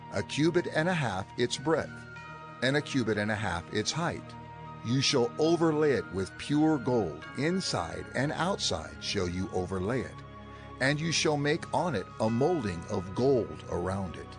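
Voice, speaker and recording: An actor playing a solemn male scriptural figure giving firm instructions in a measured, declamatory dramatized reading. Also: mid-range voice, big pitch swings, music in the background. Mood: solemn, instructive.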